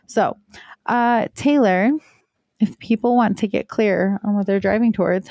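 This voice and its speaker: A woman co-hosting a business leadership podcast with a pitchy voice, chatty and playful. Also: fairly even intonation, unhurried, a little mellow, no room sound. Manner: playful, chatty, laughs easily. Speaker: podcast co-host. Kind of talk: casual podcast chat.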